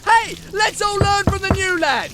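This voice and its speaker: An exasperated British workman in a video game, very high and swooping in pitch. He gripes with sour sarcasm, and loud noise runs all around him. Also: animated, unhurried. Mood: sarcastic, bitter. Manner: indignant, griping.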